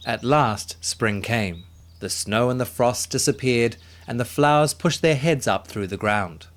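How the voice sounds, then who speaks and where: A man narrating a children's story in an expressive, dramatic way, with music behind him. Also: mid-range voice, a little slow.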